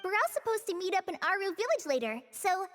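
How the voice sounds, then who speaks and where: A woman's voice: very high-pitched, sing-song, fast, a bit bright, faint music behind, exaggerated voice. A woman playing an earnest, shy young female ranger trainee in a video game, polite and slightly hesitant. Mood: earnest, friendly.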